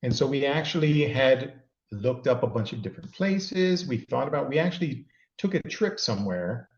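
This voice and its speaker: A man's voice: medium pitch, darkish tone, reverberant, highs cut off. A middle-aged man from California, a guest giving rambling, self-deprecating advice in a casual chat. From California, USA. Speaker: interview guest.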